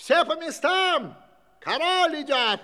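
Court master of ceremonies, comic fairy-tale audio play. Extremely high, animated, fussy, self-pitying. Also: male voice, takes it slowly, heavily acted. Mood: commanding. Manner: fussy, lamenting, exclamatory.

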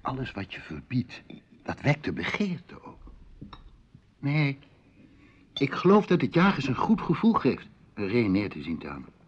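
An actor in a Dutch radio drama playing an intrusive, flattering musician who is a dinner guest with secret desires. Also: male voice, mid-range voice, a little slow, long pauses, breathy edge, full character voice. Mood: insinuating. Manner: flattering, florid, provocative. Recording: muffled, noisy old radio play recording.

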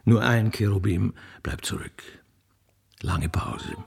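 A man narrating stage directions in a deliberate, theatrical way, as in scripted radio drama. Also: fairly low pitch, vocal fry, very noisy.

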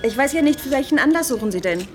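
An actress playing a polite boutique saleswoman who advises and flatters, in a radio drama. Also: sits high, fast. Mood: flattering, helpful. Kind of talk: German radio drama acting. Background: music.

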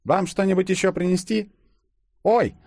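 A man voicing a brisk, indignant young waitress who exclaims firmly in an audiobook story. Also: high-pitched, expressive, creaky, darkish tone, dry recording, studio quality, pitched above the performer's own voice, exaggerated voice. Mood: polite, startled.

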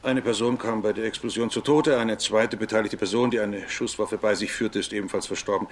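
An actor playing a cautious police chief giving a stiff, hesitant press statement in a radio drama. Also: male voice, lowish voice, fairly even intonation, fairly quick, lightly acted. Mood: formal, guarded.

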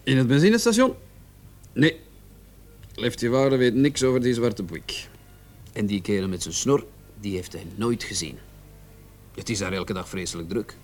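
An actor playing a keen, insistent junior police detective in a radio play, speaking pointedly and emphatically. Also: male voice, mid-range voice, expressive, normal speed, long pauses, darkish tone, faint music behind, lightly acted. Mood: matter-of-fact. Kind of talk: Dutch-language radio drama dialogue.

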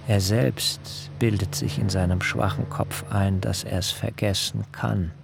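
An actor playing a wily, supple, disdainful biblical twin in a radio drama, speaking in a measured, pointed way. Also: male voice, on the low side, steady tone, background noise. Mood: disdainful, private. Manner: measured, pointed, smoothly evasive. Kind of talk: German literary radio drama acting.

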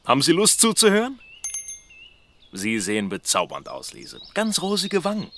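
An actor playing a flirtatious, unsettlingly attentive man who teases in a smooth, sing-song way, in a radio drama. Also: wildly swooping pitch, moderate pace, bright, forceful tone, exaggerated voice. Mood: flirting. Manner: smooth, teasing, swings pitch widely.

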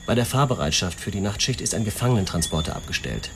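An actor playing a brisk, commanding senior police official in a radio drama, authoritative and deliberate. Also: male voice, sits low, expressive, gravelly, background noise.

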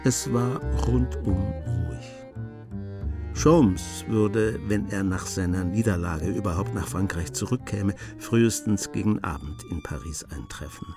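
A man narrating a German radio play as scripted storytelling, in a measured manner. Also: low-pitched. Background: music.

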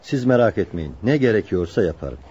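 A man's voice: lowish voice, sing-song, brisk, mellow, slightly rough. A man playing a loyal, earnest carpenter in a radio drama, speaking politely and seriously. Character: loyal, earnest carpenter protecting his childhood friend. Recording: dull lo-fi radio recording.

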